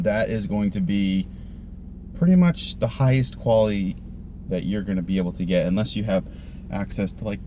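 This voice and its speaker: A man giving a casual, unscripted vlog explanation in a halting, conversational way, thinking aloud as he goes. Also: fairly low pitch, dark tone, slight background noise. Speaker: volunteer church live sound tech, vlogger.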